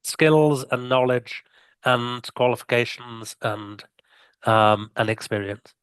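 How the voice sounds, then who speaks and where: A man's voice: medium pitch, slow, fairly bright tone, clean tone, no echo. Earnest man speaking on a video panel. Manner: earnest and emphatic. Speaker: panelist, speaker on language teaching. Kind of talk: casual online panel chat.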